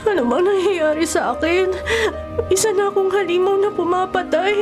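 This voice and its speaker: A woman's voice: very high voice, normal speed. A woman playing an anguished young big sister who fights a monstrous curse, tearful and pleading in a radio horror drama. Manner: tearful, pleading, urgent cries. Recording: dramatic music and effects behind.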